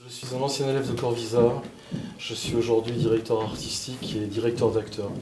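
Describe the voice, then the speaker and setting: A man's voice: medium pitch, little pitch movement, brisk, over a noisy crowd. A man, a film artistic director, speaking reflectively and hesitantly about his beliefs. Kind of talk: personal testimony. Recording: roomy distant mic with noise.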